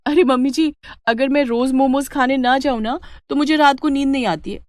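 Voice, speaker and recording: A woman voicing a lively, food-loving young village woman, chatty and eager, in a storybook tale. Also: high voice, a little fast, dry recording, clean studio sound, voice pushed up, close to an everyday voice. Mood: eager, pleading.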